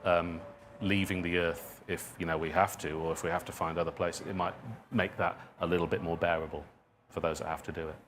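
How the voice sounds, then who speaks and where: Man, very low voice, writer in informal Q&A, reflective, halting delivery, faint background noise. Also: level tone, fairly bright tone. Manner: reflective, halting, hedging with self-deprecating asides. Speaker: guest speaker, writer and dramatist. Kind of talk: informal audience Q&A at a talk.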